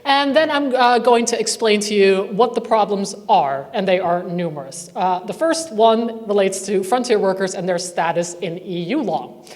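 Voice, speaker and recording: A woman's voice: mid-range voice, normal speed, a little mellow. Wry woman presenting research in a hall. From not from Northern Ireland. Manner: wry, conversational lecturing with asides. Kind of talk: conference presentation. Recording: reverberant hall sound.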